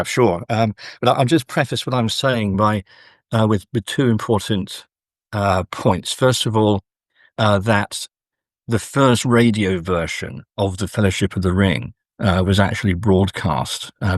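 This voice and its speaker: A man's voice: low voice, a little slow, dry recording, studio quality. A man talking as an academic guest, considered and hesitant, in a scholarly conversation. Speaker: academic author, interview guest.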